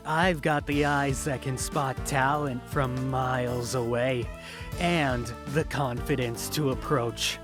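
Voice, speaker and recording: A man plays a silver-tongued French teenage boy in an audio drama. He speaks slowly and calmly at a middle pitch, confident and easygoing. Prominent music plays behind him. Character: suave laid-back French seventeen-year-old male talent scout. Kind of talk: audio drama character interview.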